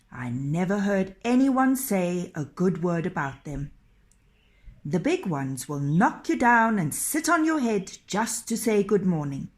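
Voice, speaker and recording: A woman reading a children's tale as a storyteller, in an expressive, dramatic and deliberate manner. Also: lowish voice.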